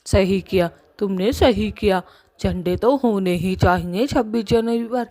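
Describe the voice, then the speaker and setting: A woman voicing a female witch in a Hindi cartoon story, coquettish and sharp-tongued. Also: medium pitch, measured pace, close to an everyday voice. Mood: admiring. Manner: coy with her lover, scornful when crossed.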